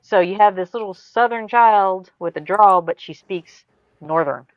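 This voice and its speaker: A woman's voice: mid-range voice, measured pace, no room sound, muffled recording. A woman with an Ohio accent making brief, matter-of-fact remarks in a casual group chat. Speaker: chat participant.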